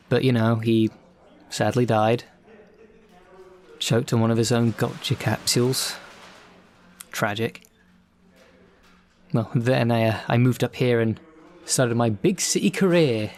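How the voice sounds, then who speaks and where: A man's voice: mid-range voice, close to an everyday voice. Guy doing a hesitant chatty villain for hire, audio rp, wry and self-deprecating, kinda rambly. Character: chatty, self-deprecating male supervillain-for-hire, weary but polite. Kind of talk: audio roleplay, conversational in-character monologue.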